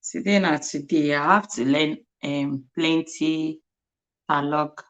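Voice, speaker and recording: Slow, low-voiced Nigerian woman. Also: steady tone. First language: Igbo. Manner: laughs often, repeats words while sounding them out. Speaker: livestream host. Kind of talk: casual livestream chat.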